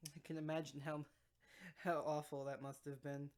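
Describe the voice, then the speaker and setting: A woman's voice: low voice, little pitch movement, moderate pace, darkish tone. A woman co-hosting a podcast, asking questions in a casual chat and giving brief, understated replies. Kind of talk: casual interview-style chat.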